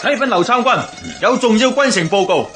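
An actor playing a dutiful, anxious soldier who reports urgently and deferentially in a historical radio drama. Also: male voice, high voice, animated, a little fast, over loud music.

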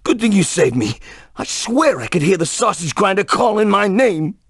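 A man's voice: high-pitched, very animated, normal speed, fairly bright tone, husky, no room sound, studio quality, full character voice. A man voicing a nervous, dramatic talking pig in a cartoon video game. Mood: anxious. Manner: anxious, dramatic. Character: nervous, fretful talking pig, a captive on vacation.

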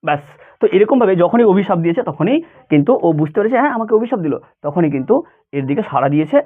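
A man's voice: high-pitched, expressive, fast talker. A man teaching students informally, explaining a story conversationally with frequent check questions, in a thin phone-like recording.

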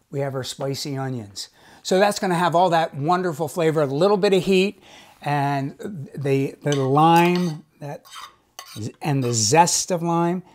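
A cooking show host giving a casual cooking demonstration in a home kitchen, chatty and enthusiastic, with folksy asides. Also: mid-range voice.

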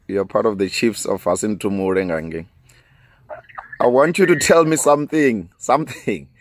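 A man in his thirties from South Africa with a Venda accent, whose first language is Tshivenda, hosting a casual podcast outdoors on a close studio mic, laughing often and trailing off quietly. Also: lowish voice, no room sound. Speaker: podcast host. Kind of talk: casual solo podcast chat with phone call. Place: outdoors in a sunny garden. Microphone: large studio mic close up.

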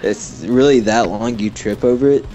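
A man's voice: mid-range voice, normal speed, warm tone. A teenage boy chatting and joking with a friend during an online shooter game, laughing often. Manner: giggly, joking. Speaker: co-player friend. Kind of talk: casual gaming chat. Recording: game music and gunfire behind.

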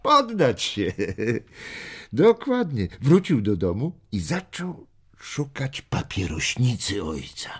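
A man playing an amused old grandfather telling stories to children in an audio drama, unhurried, chuckling and expressive. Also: medium pitch, husky, full character voice. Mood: amused storytelling. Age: older. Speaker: storyteller.

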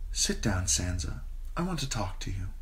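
A man with a deep voice putting on a poised adult queen who speaks softly and sweetly but with a sharp edge, his voice raised in pitch. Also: sing-song, moderate pace. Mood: soothing. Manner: soft, honeyed and controlled.

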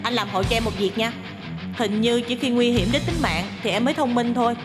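A quick-tongued teenage cartoon heroine who scolds and complains freely, voiced by a woman. Her voice is high, with soft music playing behind her. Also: lightly acted. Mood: earnest. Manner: quick, indignant, chatty. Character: teenage girl, scatterbrained then sharp-tongued. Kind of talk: Vietnamese cartoon dub.

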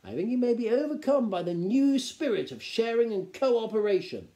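A man voicing a gnome count in a storybook, theatrical, measured and drily courteous. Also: extremely high pitch, big pitch swings, dark tone, voice pushed up, full character voice. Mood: dry scepticism.

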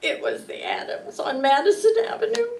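A woman's voice: extremely high pitch, wildly swooping pitch, moderate pace, a little mellow, exaggerated voice. A woman playing a fretful, theatrical grown daughter in an old-time radio melodrama. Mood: tearful, wailing. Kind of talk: 1940s radio acting. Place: meeting room stage before an audience. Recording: distant, noisy live room recording.

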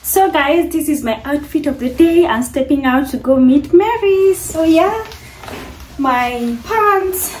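A woman's voice: high-pitched, lively intonation, slow pace, darkish tone. A young woman chatting casually to her viewers in a home vlog, filmed on a phone. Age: young adult. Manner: chatty, addresses viewers as guys. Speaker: vlogger. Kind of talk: casual vlog chat to camera. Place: modern home kitchen, indoors.

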